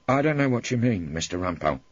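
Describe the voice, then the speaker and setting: A man's voice: fairly low pitch, sing-song, fast, husky, dry recording, lo-fi sound, voice pushed up. A man voicing a cautious, evasive police officer giving reluctant answers in an audiobook courtroom story. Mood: guarded. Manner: guarded, reluctant. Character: cautious, evasive male police officer under cross-examination.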